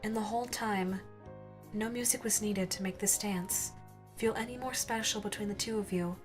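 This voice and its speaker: A woman reading a scripted second-person ASMR story as narrator, in a soft, dreamy storytelling manner. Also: mid-range voice, steady tone, moderate pace. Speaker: narrator and voice actress. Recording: music under the narration. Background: instrumental music.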